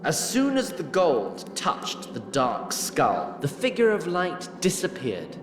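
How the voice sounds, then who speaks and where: A man narrating a scripted fantasy story in a measured, dramatic manner with an occasional wry touch. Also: fairly high pitch, wildly swooping pitch, echoey room, big-room sound.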